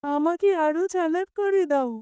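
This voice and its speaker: Woman as a high-pitched, earnest cartoon boy. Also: unhurried, dark tone, dry recording. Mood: eager. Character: greedy, then repentant young boy in a cartoon.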